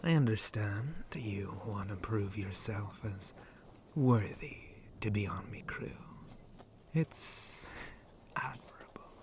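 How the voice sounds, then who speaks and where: Man voicing a slow, breathy, flirty shark pirate. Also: a little mellow, a little hiss or hum. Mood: flirty, teasing. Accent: put-on pirate speech. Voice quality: breathy, frequently whispered. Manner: slow, teasing, drawling pirate talk with low chuckles. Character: flirty, roguish shark-man pirate captain with sharp teeth. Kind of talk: ASMR roleplay addressed to the listener.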